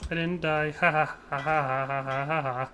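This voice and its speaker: A man's voice: fairly high pitch, fairly even intonation, moderate pace, sharp tone, slight background noise. A man streaming a shooter live, chatting jokily, reading chat and laughing often. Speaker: game streamer.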